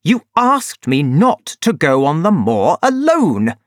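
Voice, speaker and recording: A reader voicing an anxious gentleman who asks his friends to stay. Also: on the high side, wildly swooping pitch, slow pace, no echo, clean studio sound, voice pushed up, full character voice. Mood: worried. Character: anxious gentleman host, worried.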